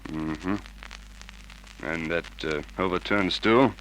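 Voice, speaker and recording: A male actor playing a blunt, businesslike homicide sergeant in an old radio detective drama, firing questions gruffly. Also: low voice, takes it slowly, fairly bright tone. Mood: brisk, businesslike. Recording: noisy vintage radio drama recording.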